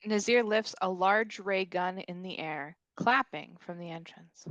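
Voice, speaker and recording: A woman's voice: on the low side, a little slow, noisy background. A woman reading scripted stage directions as narrator at a comedic table read.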